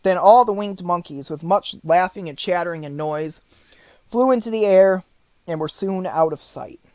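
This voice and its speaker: A man narrates a storybook audiobook. His voice is on the high side, and he reads in measured, neatly phrased sentences. The sound is very dry, with no room around it. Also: no room sound.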